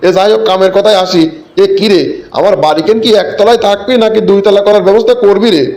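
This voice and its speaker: A man's voice: high voice, fairly even intonation, quick pace, darkish tone, slight background noise. A man voicing a crafty, greedy village boss in a comic cartoon, bossy, with a rural Bangladeshi Bengali accent. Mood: sheepish.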